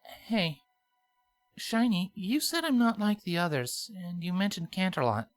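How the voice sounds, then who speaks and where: A man voicing a young female unicorn princess, a nervous and earnest little sister with a soft, hesitant way of talking, in a storybook reading. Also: high voice, expressive, a little slow, voice pushed up. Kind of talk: audiobook character voice in fan fiction. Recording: music playing behind the voice.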